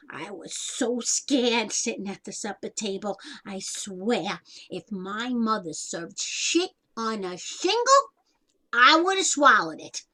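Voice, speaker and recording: A woman's voice: medium pitch, sharp tone, exaggerated voice. An actress playing a chatty, self-deprecating older Italian-American woman in a stage comedy, with a folksy, wisecracking way of talking.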